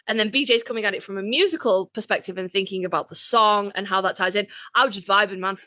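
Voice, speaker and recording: A woman with a bright, crisp-edged voice chats casually on a podcast. Her delivery is wry and self-deprecating, recorded close with very little room sound. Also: mid-range voice, quick pace, no room sound. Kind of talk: casual group discussion.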